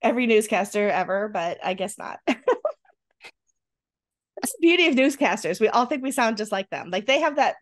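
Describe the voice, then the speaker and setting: A woman's voice: mid-range voice, moderate pace, pauses often. A middle-aged woman with a Rochester, New York accent, co-hosting a casual podcast and telling stories with lots of laughter. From Western New York. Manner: animated storyteller, laughs often. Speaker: podcast co-host. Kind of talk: casual chat.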